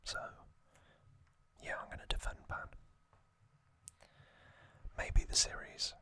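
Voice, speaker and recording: A man whispers at an unhurried pace in an ASMR style. His breathy, unvoiced voice is very crisp and sibilantly bright, staying even throughout. He speaks off the cuff, pausing thoughtfully as he goes. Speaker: ASMR host. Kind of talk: ASMR, unscripted whispered commentary.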